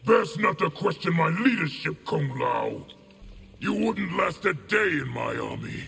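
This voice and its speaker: An actor playing a ruthless, proud male warlord general in a video game, boastful and threatening, often shouting. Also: a little high, sing-song, a little slow, vocal fry, a little hiss or hum, heavily acted. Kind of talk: video game character voice acting.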